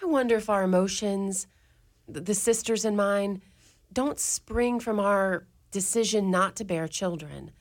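A wry, motherly mother superior, played by a woman in a community radio play. Her speech has a gentle lilt, teasing one moment and firm with the children the next. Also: medium pitch, measured pace, lightly acted. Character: Irish mother superior of a convent orphanage, warm, wry.

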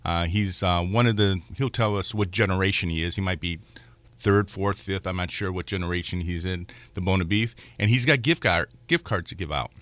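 A man's voice: low voice, fairly quick, fairly bright tone, no echo. A man in his fifties who hosts a radio food show as a chef, chatting casually and joking with guests in a studio. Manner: chatty, joking, repeats the call-in number. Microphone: studio microphone.

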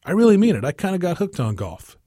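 A man reading storybook dialogue as a jovial 65-year-old businessman, with a husky voice and folksy, emphatic phrasing. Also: high-pitched, sing-song, quick pace, dark tone, no room sound, clean studio sound, pitched above the performer's own voice, exaggerated voice. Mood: animated, joking. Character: jovial 65-year-old businessman twin, game but joking.

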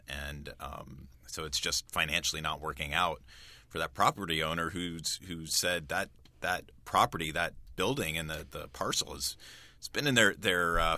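Deep, very bright-voiced male reporter. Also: no echo. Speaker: news reporter. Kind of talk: informal, explanatory radio conversation.

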